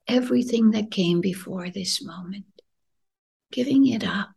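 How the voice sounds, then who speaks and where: A woman leading a guided meditation in a gentle, soothing, breathy voice that often drops near a whisper, unhurried with long pauses. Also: mid-range voice. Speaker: podcast host and meditation guide.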